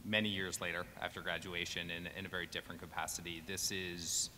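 Very deep, bright-voiced male panelist. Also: flat delivery, fast talker. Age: late 30s. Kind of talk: informal opening remarks. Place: auditorium stage. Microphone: table stand microphone. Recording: echoey hall with faint rumble.

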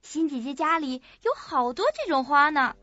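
A woman's voice: high voice, animated, normal speed, sharp tone, no echo. An actress in an audio drama playing a sweet, forgetful young woman with a naive, hesitant way of talking. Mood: innocent, earnest. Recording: muffled lo-fi audio.

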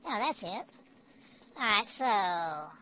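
A man's voice: high voice, sing-song, takes it slowly, sharp tone. An older man giving casual gameplay commentary at home, thinking aloud, mocking himself and cursing mildly. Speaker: let's play gamer. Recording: dull lo-fi home recording.

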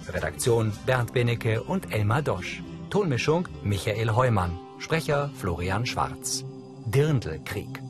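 A man reading scripted narration as the audio describer of a TV episode. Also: medium pitch. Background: soundtrack music.

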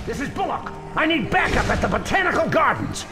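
A man playing a beleaguered, gruff, wisecracking city police officer in a video game. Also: very high-pitched, sing-song, brisk, exaggerated voice. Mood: urgent, shouting. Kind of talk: dramatic video game acting. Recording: loud game music underneath.

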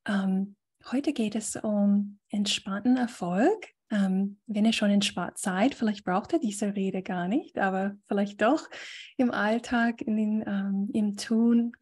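A woman coach giving a relaxed talk to a group on a video call, pausing often with fillers. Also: mid-range voice, breathy edge. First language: German. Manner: thoughtful, many fillers, reads a definition aloud. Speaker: guest speaker and coach.

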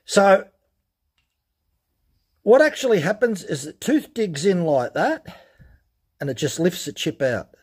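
A man explaining things step by step in a relaxed, plain-spoken way during a workshop demonstration. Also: sits high, slow, long pauses, slightly rough. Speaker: chainsaw channel host. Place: workshop bench.

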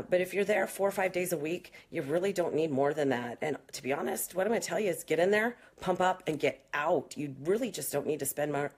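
Low-voiced woman trainer, frank and chatty. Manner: chatty, frank, many fillers. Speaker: fitness trainer. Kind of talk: casual live Q&A. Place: small indoor room. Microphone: phone camera at arm's length.

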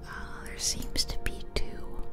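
Female ASMR host, whispered delivery, intimate close mic, relaxed and informal gaming chatter. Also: takes it slowly, over loud music. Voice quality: soft, breathy whisper. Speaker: ASMR video host and gamer. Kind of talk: ASMR whispering, casual rambling commentary on a game. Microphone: close ear-to-ear ASMR microphone.

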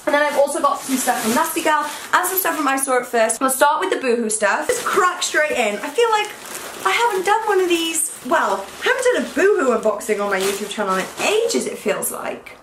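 A young woman with a croaky, hungover voice, chatting excitedly in a casual try-on haul vlog. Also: high-pitched, brisk, noisy background. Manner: excitable and gushing. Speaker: fashion vlogger. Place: small bedroom, indoors. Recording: echoey room.

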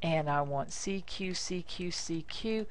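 An American woman demonstrating software step by step, speaking informally in short, deliberate instructional phrases. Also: on the low side. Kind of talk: step-by-step screencast tutorial narration.

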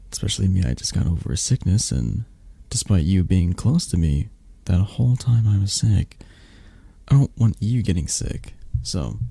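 Casting: tender, possessive mafia lover , acted by a man for ASMR roleplay; dark mellow tone, lively intonation, breathy gravelly murmur. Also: fairly low pitch, dry recording, full character voice. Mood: teasing, gentle. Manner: soft, hesitant, murmuring, breathy. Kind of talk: intimate boyfriend-roleplay ASMR.

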